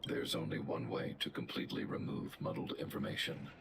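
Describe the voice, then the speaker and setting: A deep gravelly robot guardian. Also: male voice, a little fast, noisy background. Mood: calm, clinical. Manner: flat, precise, mechanical delivery. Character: huge logical male robot guardian. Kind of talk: video game voice acting. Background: game music.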